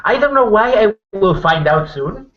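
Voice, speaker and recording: Man playing a cheeky, high-voiced werewolf trickster. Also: lively intonation, normal speed, a bit bright, highs cut off. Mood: blunt, puzzled. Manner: irreverent, plain-talking. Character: cheeky, blunt, foul-mouthed male werewolf trickster. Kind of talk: improvised in-character roleplay.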